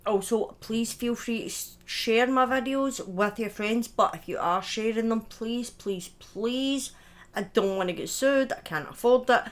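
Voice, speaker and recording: A woman's voice: on the high side, a little slow. A woman chatting informally to viewers as the host of a livestream, chatty and self-deprecating, with the odd swear word. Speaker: live streamer and storytelling host. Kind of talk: casual livestream chat to viewers.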